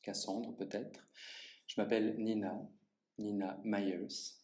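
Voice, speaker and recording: A man reading his own first-person monologue aloud at a public reading in an art gallery, speaking to the audience in a measured, theatrical way. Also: on the low side, little pitch movement, a little mellow. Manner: measured, theatrical delivery addressing the audience directly. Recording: echoey gallery room.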